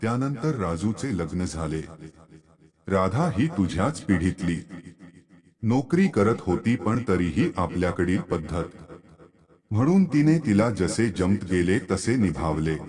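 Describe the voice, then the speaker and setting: A man's voice: sits low, very animated, measured pace, darkish tone. A man voicing a principled, reproachful husband in a moral story, reasoning and admonishing. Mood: firm, admonishing. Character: reproachful, principled husband, eldest son of the family.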